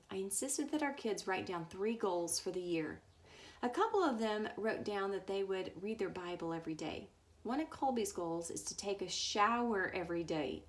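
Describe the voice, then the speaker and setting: A woman reading a book aloud as a storyteller, now and then stumbling over words. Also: mid-range voice. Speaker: story reader.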